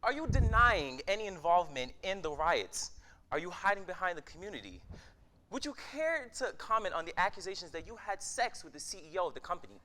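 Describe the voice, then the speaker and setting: A man's voice: high voice, sing-song, fairly quick, pushed and bright, slight background noise, full character voice. A man in a staged reading playing a pushy news interviewer who asks insistent, probing questions. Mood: pushy, badgering.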